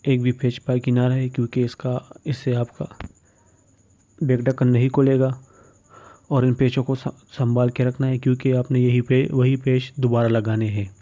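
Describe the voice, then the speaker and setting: Dark-voiced man, flat instructional delivery. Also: mid-range voice, moderate pace. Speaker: phone repair tutorial presenter. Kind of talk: step-by-step instructional voice-over. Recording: dull, muffled lo-fi recording.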